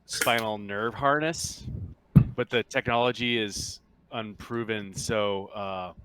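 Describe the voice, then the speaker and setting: Lower-voiced man haltingly explaining game rules. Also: fairly even intonation, pushed and bright, smooth, no echo, low-quality recording.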